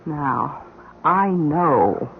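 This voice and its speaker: An actress playing a coldly confident, scheming housekeeper in a radio mystery, speaking formally and with sly insinuation. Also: female voice, low-pitched, expressive, very slow, gravelly, heavily acted. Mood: knowing, threatening. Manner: formal, insinuating, coolly assured. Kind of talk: radio mystery drama acting. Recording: muffled, noisy old radio broadcast.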